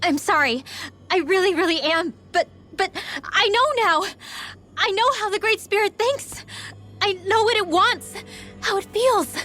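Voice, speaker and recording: A woman's voice: extremely high pitch, unhurried, bright, forceful tone, faint music behind, heavily acted. A woman voicing an earnest young girl adventurer in a dramatic video game dub, with a slightly hesitant manner. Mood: shaken, earnest.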